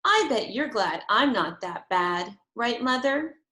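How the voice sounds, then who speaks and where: A woman's voice: on the high side, very animated, slow pace, bright, forceful tone, reverberant, exaggerated voice. A woman voicing an outspoken, anxious first-grade girl with blunt, emphatic childish phrasing, in a storybook reading. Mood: blunt, nervous.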